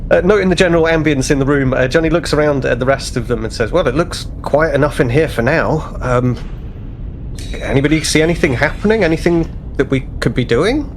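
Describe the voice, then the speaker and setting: A man's voice: a little high, brisk, a little hiss or hum. A man playing a tabletop roleplaying game, talking casually as a player. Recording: faint music bed behind voice.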